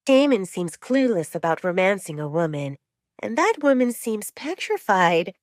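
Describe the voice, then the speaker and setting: A woman's voice: medium pitch, very animated, normal speed, no room sound, clean studio sound, natural voice. A woman voicing a confident, skeptical young female pack member in an audiobook romance, opinionated and questioning. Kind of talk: audiobook romance drama acting.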